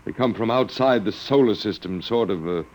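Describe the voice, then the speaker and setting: A man's voice: on the low side, moderate pace, darkish tone, close to an everyday voice. A man playing a sardonic, resigned captive professor in a classic radio drama, musing and hesitating as he talks. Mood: wry, resigned. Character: wry, resigned male anthropology professor held captive by aliens.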